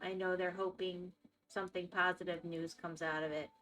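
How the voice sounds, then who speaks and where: A woman's voice: lowish voice, little pitch movement, moderate pace, a bit bright, soft background music, poor audio. A woman with a Florida accent, chatting casually with viewers as a live streamer and reacting to their comments. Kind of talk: casual chat while gaming.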